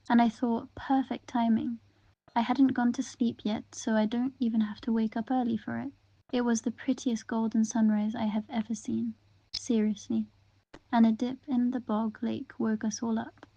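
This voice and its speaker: A woman reading interview answers aloud in the first person, in an even, gentle and unhurried way. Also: a little high, no echo, lo-fi sound.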